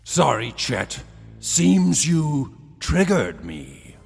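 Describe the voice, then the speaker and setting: An actor playing a rambling, theatrical villain admiral in an audio drama, grandiose with hesitant, dithering asides. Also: male voice, a little high, huge pitch swings, very slow, gravelly, breathy edge, heavily acted. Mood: regretful. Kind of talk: audio drama acting in old-fashioned adventure style. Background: music.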